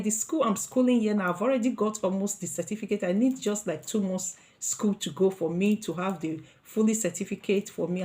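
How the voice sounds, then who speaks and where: A woman's voice: medium pitch, mellow. Earnest Nigerian woman vlogger. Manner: repetitive, earnest, many "like" fillers and restarts. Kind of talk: motivational talk to camera.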